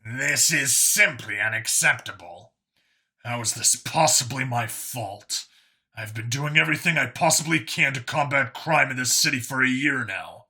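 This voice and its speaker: A blunt human male detective in a sci-fi audiobook, played by a man. He sounds irritable and defensive, with a very bright, forceful tone and a husky grain at a middling pitch. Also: moderate pace, exaggerated voice. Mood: irritated, defensive. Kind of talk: audiobook character acting.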